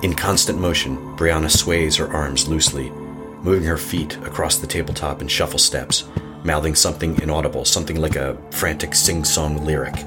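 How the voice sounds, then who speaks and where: A man narrating a scripted horror story in a measured, suspenseful manner. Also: a bass voice, fairly even intonation, over music.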